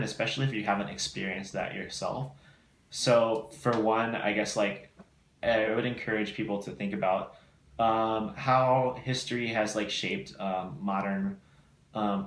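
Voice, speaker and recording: A young male student giving a reflective interview answer, searching for his words with many pauses. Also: lowish voice. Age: young adult. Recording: echoey room.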